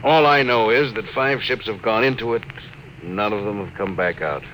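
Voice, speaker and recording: Man playing a gruff, bright-voiced spaceship captain. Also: fairly low pitch, close to an everyday voice. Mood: confident, dismissive. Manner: brisk, authoritative, clipped. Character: weary, gruff, determined veteran spaceship captain. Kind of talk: old-time radio drama acting. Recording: noisy vintage radio drama recording.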